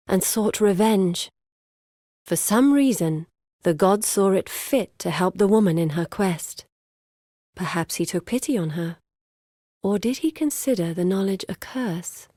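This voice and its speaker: A woman playing an earnest, scholarly young daughter in a video game, speaking in a measured, formal, articulate way. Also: on the low side, a little mellow, no room sound, clean studio sound. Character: earnest scholarly young woman, determined daughter seeking her lost father. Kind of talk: scripted video game character dialogue.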